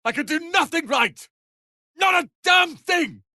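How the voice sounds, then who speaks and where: A man voicing a proud, sharp-tongued young tiefling wizard's apprentice in a video game, with haughty, theatrical, biting delivery. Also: extremely high pitch, animated, measured pace, no echo, studio quality, exaggerated voice. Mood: angry, indignant. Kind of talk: dramatic video game voice acting.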